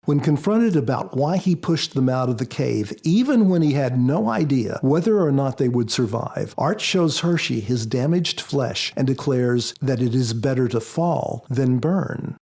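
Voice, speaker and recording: A man speaking as a guest author in a podcast interview, explaining in a measured, prepared style. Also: mid-range voice, expressive, darkish tone.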